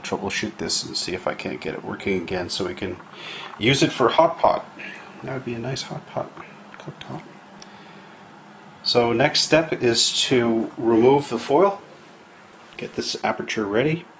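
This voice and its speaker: A man's voice: on the low side, a little hiss or hum, low-quality recording. A middle-aged man from Canada, talking casually through a kitchen fix in a how-to video, rambling and thinking aloud with lots of fillers. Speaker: DIY maker vlogger. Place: home kitchen.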